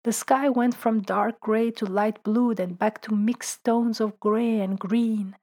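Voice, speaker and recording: A woman, a writer and translator, reading her own reflective essay in a calm, thoughtful way, sometimes dropping to a soft whisper. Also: fairly high pitch, takes it slowly. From Belgium. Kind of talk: reflective personal essay narration.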